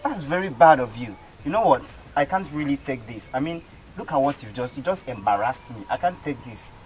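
A man's voice: mid-range voice, animated, close to an everyday voice, poor audio. A man playing a stern company boss. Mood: indignant. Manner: measured, then indignant and scolding. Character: stern middle-aged male company CEO. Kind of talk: amateur skit acting.

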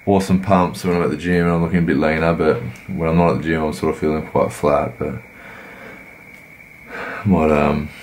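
A man, croaky from a cold, giving laid-back, rambling voice-over commentary on his workout. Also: rumbling low, flat delivery, moderate pace, darkish tone, a little hiss or hum. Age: 20s. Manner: laid-back, rambling, many fillers and restarts. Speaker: fitness vlogger.

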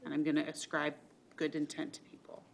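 A woman's voice: low voice, monotone, a little fast, vocal fry. A woman board member deliberating earnestly and emphatically at a public meeting. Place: public meeting room, indoors. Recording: noisy meeting room recording.

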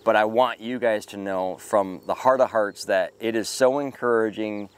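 A man in his forties with a smooth, clear, fairly low voice speaks straight into a close camera outdoors among trees. He talks in an earnest, grateful, reflective way, pausing often with ums and uhs as he gathers his thoughts. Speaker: homesteading channel host. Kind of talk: casual devotional talk to camera. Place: outdoors in a park-like yard with trees. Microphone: camera close up at arm's length.

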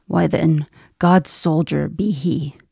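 A woman's voice: sits low, steady tone, slow pace, vocal fry, dark tone, breathy edge, no echo, studio quality, full character voice. A woman playing a stoic, bereaved old soldier in a Shakespearean radio drama, grave and restrained. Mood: stoic grief.

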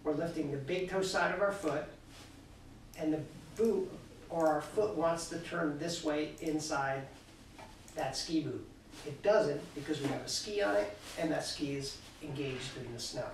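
A middle-aged man coaching skiing, calmly explaining foot movements and exercises while he demonstrates in a cluttered indoor workshop, filmed by a camera across the room. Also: on the high side, measured pace, slight background noise. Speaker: ski coach. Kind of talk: instructional demonstration. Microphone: far camera. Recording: echoey room, mic far off.

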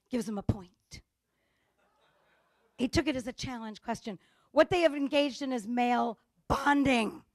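A woman with a Chicago accent giving a humorous conference talk on gender differences, telling stories and acting out dialogue with lively delivery. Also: a little high, no echo, clean studio sound. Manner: lively storytelling with acted-out dialogue. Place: conference stage before an audience.

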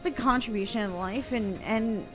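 A woman's voice: on the high side, moderate pace. Middle-aged woman, casual radio history chat, conversational explainer, close mic, faint music. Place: small home room, indoors. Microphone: close broadcast mic on boom arm, headphones.

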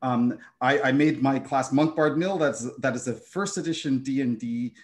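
A man's voice: mid-range voice, moderate pace. Man, middle-aged, native German speaker, game designer, panel talk, hesitant, lots of fillers, video call, home room. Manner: hesitant, many fillers and restarts.

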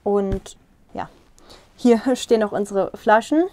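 A woman's voice: mid-range voice, slow, noisy background. A young woman casually showing viewers around a small caravan, explaining as she walks and points, recorded on a lapel mic. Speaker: vlogger giving a tour. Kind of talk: casual room tour.

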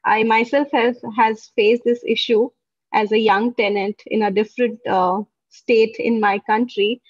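A woman in her thirties with a fairly high voice moderates an online summit, making polite, formal requests. The video call audio is dry and dull. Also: fairly even intonation, unhurried, husky, dry recording. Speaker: session moderator. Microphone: video call, laptop camera.

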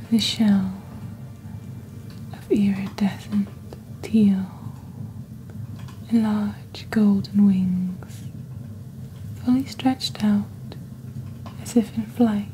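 A young woman playing a composed witch who presents a shopping channel in soft, breathy, half-whispered ASMR. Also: mid-range voice, fairly even intonation, soft background music, rough recording. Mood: composed, mysterious. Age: young adult. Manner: slow, deliberate, measured. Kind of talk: ASMR roleplay, scripted product presentation.

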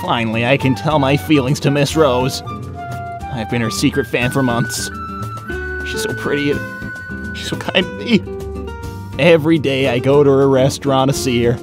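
A man's voice: medium pitch, normal speed, long pauses, lightly acted. A man voicing a shy, nervous, lovestruck young hedgehog with a stammering, self-scolding manner in a comic dub. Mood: hopeful, shy. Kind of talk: animated comic dub acting. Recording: music bed behind voice.

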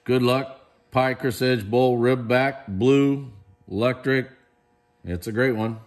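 A man's voice: medium pitch, fairly even intonation, slow pace. Chatty man showing auction glassware. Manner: enthusiastic, chatty, praises pieces. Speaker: auctioneer presenting lots. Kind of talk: casual walkthrough of auction items. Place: large indoor warehouse room.

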